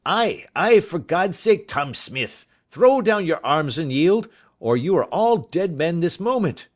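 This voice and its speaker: A man voicing a sailor who loudly hails his shipmates to surrender in a storybook reading. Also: a little high, sing-song, slow, pitched above the performer's own voice, heavily acted. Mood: urgent shouting. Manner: loud hailing, urgent. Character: male sailor shouting a surrender call.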